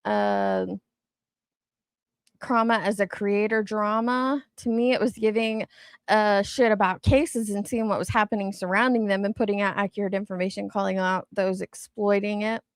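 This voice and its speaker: A woman's voice: mid-range voice, moderate pace, clean tone, no room sound. A woman giving casual, candid commentary as an online show host, speaking emphatically and swearing mildly.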